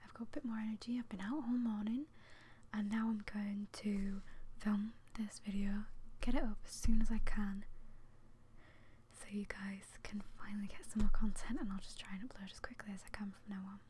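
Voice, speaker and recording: A young woman with a British accent talks in a hushed, breathy whisper. Her delivery is casual, hesitant and apologetic, in an intimate ASMR style at a medium pitch. Faint room noise sits behind her close-miked voice. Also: little pitch movement, moderate pace. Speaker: ASMR video host. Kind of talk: soft-spoken ASMR, casual chat to viewers.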